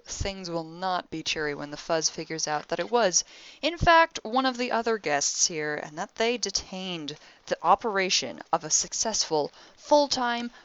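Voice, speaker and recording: A dull, close recording with very little room sound carries a young crewwoman's personal log from a sci-fi audio drama, acted by a woman. Her voice sits low. She is wry and sardonic, delivering her exasperation in a deadpan, conversational way. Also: dry recording. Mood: sarcastic, indignant.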